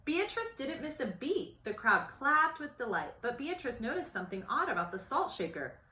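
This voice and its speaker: Animated, bright-voiced woman reading a storybook. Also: sits high, moderate pace. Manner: expressive, acts out dialogue and exclamations. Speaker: read-aloud narrator. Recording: roomy, echoey sound.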